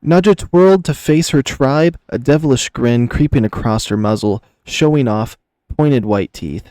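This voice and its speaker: A man reading scripted fiction aloud as an expressive story narrator. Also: mid-range voice, moderate pace, dry recording. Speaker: narrator, reader.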